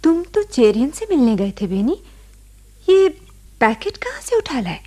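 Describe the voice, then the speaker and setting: A devoted, anxious wife in a radio drama. Her voice is fairly high yet mellow and breathy. She speaks slowly, with worry under the words. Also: sing-song, heavily acted. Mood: subdued, puzzled. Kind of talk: Hindi radio drama acting.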